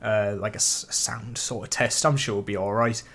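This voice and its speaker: A man's voice: on the low side, fairly even intonation, normal speed, a bit bright. A man hosting a casual live stream, chatty and rambling while getting over a cold with a cough and sniffle. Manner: chatty and rambling, with many fillers and restarts. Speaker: YouTube host streaming a live reaction.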